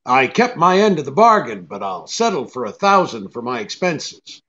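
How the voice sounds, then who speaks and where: An actor playing a tough, shrewd private eye in old-time radio drama style, clipped and hard-boiled. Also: male voice, on the high side, big pitch swings, moderate pace, a bit bright, highs cut off. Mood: wry.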